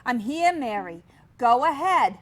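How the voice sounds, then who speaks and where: A woman's voice: sits high, sing-song, measured pace, very noisy. A woman playing a reassuring, matter-of-fact mother of six who coaches a birth in a staged play reading. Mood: steady, coaching. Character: reassuring, take-charge mother of six delivering a baby. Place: children's theatre stage.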